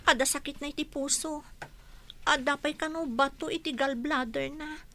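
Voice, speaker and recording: A woman's voice: high voice, fairly even intonation, normal speed, sharp tone, slight background noise. An actress playing an anxious young daughter who worries for her mother in a radio drama. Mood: worried, subdued.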